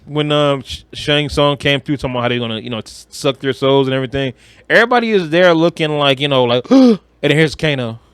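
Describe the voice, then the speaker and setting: A man with a slightly high voice chats as a podcast co-host. His talk is slangy and emphatic, and he likes to act out lines he quotes. The recording is dry, without room sound. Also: quick pace, fairly bright tone, clear, resonant tone, dry recording. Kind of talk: casual chat about movies.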